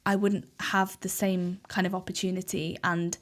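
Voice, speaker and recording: Welsh woman, 20s, native Welsh speaker; relaxed, talkative podcast guest. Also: on the low side, normal speed. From Cardiff, Wales. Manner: chatty, frequent ums. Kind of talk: casual interview chat.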